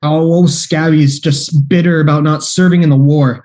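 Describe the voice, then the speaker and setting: A man playing a fretful private detective in a radio play, flustered and quick to exclaim. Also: fairly high pitch, steady tone, darkish tone, close to an everyday voice.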